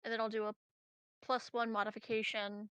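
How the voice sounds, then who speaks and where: A woman's voice: fairly high pitch, flat delivery, a little fast, fairly bright tone, clear, resonant tone, no room sound. A woman talking casually as a player in a tabletop game. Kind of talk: casual chat.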